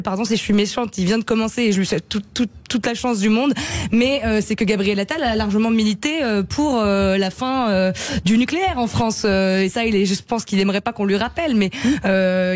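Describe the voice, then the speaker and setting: A woman's voice: mid-range voice, dry recording. A woman journalist speaking as a radio panel guest, hesitant with many filler sounds.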